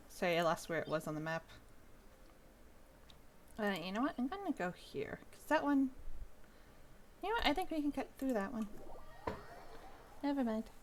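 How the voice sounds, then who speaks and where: A woman game streamer chats casually as she plays, thinking aloud. Her voice is lively and swooping with a lot of fry, and there is faint noise behind it. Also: medium pitch. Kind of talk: casual live gameplay commentary.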